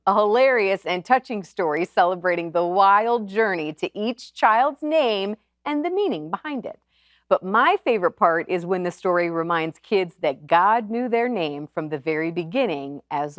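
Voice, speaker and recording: A woman's voice: medium pitch, dry recording, studio quality. A woman presenting a TV show, reading a scripted introduction to a segment.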